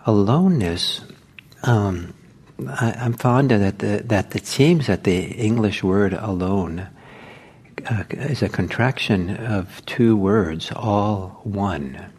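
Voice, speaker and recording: An older man, originally from Norway and a native Norwegian speaker, giving an informal meditation talk in a gentle, unhurried way with many pauses. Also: fairly low pitch, husky. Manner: gentle, hesitant, with frequent pauses and fillers. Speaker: meditation teacher. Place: meditation hall.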